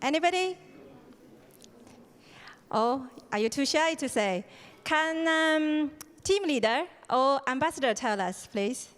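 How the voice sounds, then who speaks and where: A woman's voice: sits high, expressive, slow, long pauses, fairly bright tone. A woman organizing a program, giving an opening ceremony address with frequent hesitations and fillers. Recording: hall reverb.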